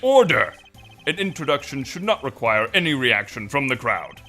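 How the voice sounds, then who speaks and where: A man's voice: mid-range voice, big pitch swings, normal speed, pushed and bright. A man voicing a pompous, authoritative courtroom judge in a video game fandub, formal and ponderous. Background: music.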